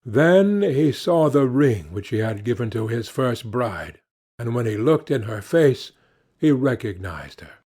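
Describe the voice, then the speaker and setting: Male audiobook narrator for fairy tales: scripted storytelling, mid-pitched, very varied intonation. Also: very animated, measured pace, dark tone, slightly rough.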